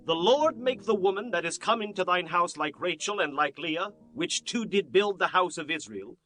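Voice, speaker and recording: A man's voice: sits high, animated, moderate pace, pushed and bright, soft background music. A man playing a solemn town elder, declaiming a ceremonial blessing in scripture drama. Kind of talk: dramatized scripture audiobook acting.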